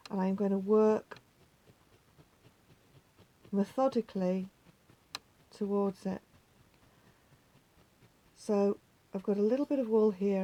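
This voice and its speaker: A woman with a slightly croaky voice, patiently talking viewers through a craft step by step and often dropping to a near whisper. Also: medium pitch, mellow, rough recording. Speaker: needle felting teacher. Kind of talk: hands-on craft tutorial.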